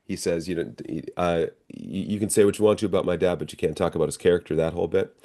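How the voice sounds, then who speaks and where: A man's voice: low-pitched, steady tone, fast, warm tone. A middle-aged American man, an actor being interviewed, telling stories casually and acting out bits of dialogue as he goes.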